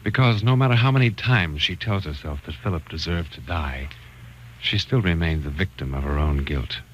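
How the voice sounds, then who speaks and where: A man hosting and narrating a 1940s radio thriller in a solemn, measured, scripted style. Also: low-pitched. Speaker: host and narrator. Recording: dull, noisy 1940s radio recording.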